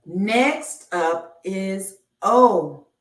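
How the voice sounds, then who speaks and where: A woman reading a children's picture book aloud on a live stream, enunciating deliberately like a teacher. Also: medium pitch, animated. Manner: slow, deliberate enunciation with teacherly announcements of each letter. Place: indoor room, live stream. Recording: roomy, echoey, distant microphone.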